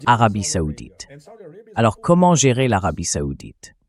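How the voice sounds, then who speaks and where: Male synthetic dub voice for a political analyst: fairly low, emphatic, opinionated, posing rhetorical questions. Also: wildly swooping pitch, moderate pace, vocal fry, crowd noise. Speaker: political analyst, interview guest. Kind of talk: opinionated political commentary, dubbed translation read by AI voice.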